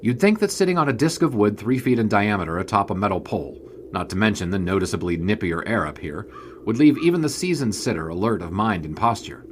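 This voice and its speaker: An actor playing a 1920s sports writer who narrates in a dry, wry, formal manner. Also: male voice, lowish voice, expressive, fast, slight background noise, lightly acted. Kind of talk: audio drama narration and acting.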